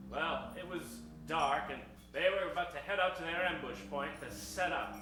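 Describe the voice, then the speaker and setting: A man's voice: fairly high pitch, moderate pace, background noise. A man narrating a tongue-in-cheek old-time western radio show in a theatrical storytelling way. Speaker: narrator of a live improvised radio comedy. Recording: roomy live stage sound.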